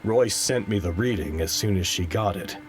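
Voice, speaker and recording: A man acting in an audio drama as a well-meaning, exasperated man juggling a crisis, urgent and excitable. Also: deep voice, moderate pace, soft background music, close to an everyday voice. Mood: narrating.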